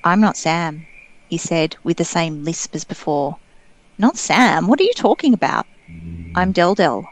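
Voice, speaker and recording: A woman's voice: fairly low pitch, animated, a little slow. A woman reading aloud expressively from a psychological thriller novel, narration and dialogue.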